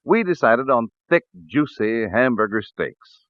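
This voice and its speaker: A man announcing a 1940s radio show and its commercial in a polished, deliberate sales delivery. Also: mid-range voice, expressive, dry recording. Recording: muffled vintage radio recording, phone-like band, faint music.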